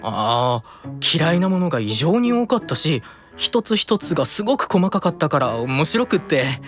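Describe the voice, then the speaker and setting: Man acting as calm angel, male, gentle, softly teasing, lighter pitch, expressive intonation, audio drama. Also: moderate pace, faint music behind. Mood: amused, puzzled. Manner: calm, soothing, amused.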